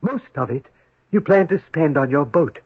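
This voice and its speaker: An actor playing a supernatural giant servant, formal, measured and deferential, in a 1970s radio drama. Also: male voice, a little high, lively intonation, slightly rough. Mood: calm, ominous. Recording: roomy, muffled 1970s radio broadcast.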